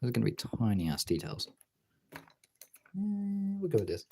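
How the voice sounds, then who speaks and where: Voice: mid-range voice, huge pitch swings, moderate pace, a little mellow, a little hiss or hum. A British streamer chatting casually and informally to viewers, thinking aloud and swearing now and then. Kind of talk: casual livestream chat.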